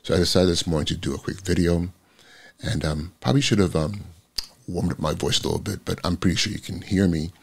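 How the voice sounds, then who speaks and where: A man in his forties, a school principal, with a raspy voice, talking through a reflective morning vlog full of ums. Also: deep voice, a little fast. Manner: rambling, reflective, many ums.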